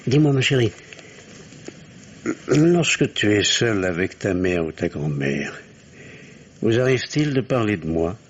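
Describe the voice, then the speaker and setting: A man's voice: on the low side, lively intonation, a little slow, pauses often. An actor playing a nervous, sarcastic middle-aged family man in a French radio drama, hesitant and swinging between obsequious and indignant. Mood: tender, probing. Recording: dull, noisy old radio recording.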